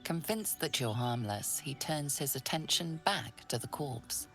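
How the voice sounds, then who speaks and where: An actress narrating a fantasy game in a measured, solemn, suspenseful storytelling manner, with breathy phrase endings. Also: female voice, a bass voice, expressive. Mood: solemn, hushed. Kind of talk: dramatic scripted second-person narration. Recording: studio voice over background music.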